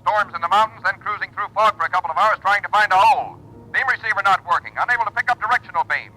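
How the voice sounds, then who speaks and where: A young naval aviator radios in with terse, strained reports. His voice is high, quick and very bright, filtered like an aircraft radio in a vintage 1940s drama. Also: full character voice, low-quality recording.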